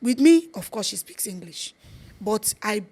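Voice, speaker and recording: A woman's voice: medium pitch, animated, unhurried, darkish tone, slightly rough. A middle-aged Nigerian woman with a Yoruba accent, chatting earnestly as an interview guest, repeating her points for emphasis. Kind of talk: casual interview chat.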